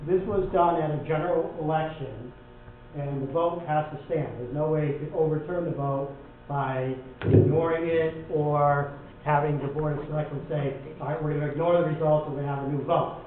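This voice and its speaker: A man's voice: on the high side, fairly even intonation, normal speed, a little hiss or hum. A middle-aged or older man, a town attorney, explaining matter-of-factly at a public meeting in a large indoor room. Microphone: room pickup, later handheld microphone. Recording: echoey meeting hall, distant dull sound.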